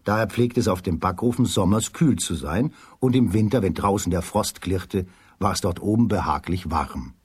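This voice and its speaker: A man narrating a folk tale in a children's radio play, in a measured, slightly husky storytelling voice. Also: sits low, no echo. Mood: calm, descriptive.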